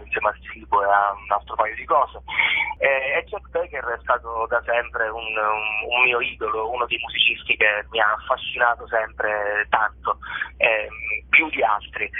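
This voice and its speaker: A man heard over a thin, telephone-like connection, with a slightly husky voice. He is a stage actor in a casual radio interview. He talks with enthusiasm, often stopping and doubling back on words. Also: on the low side. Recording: narrow phone-like line.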